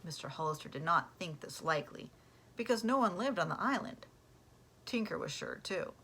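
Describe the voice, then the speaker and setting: A woman reading a children's storybook aloud like a teacher, in an expressive way that acts out the characters. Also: on the low side, normal speed, husky. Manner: animated, acts out character voices.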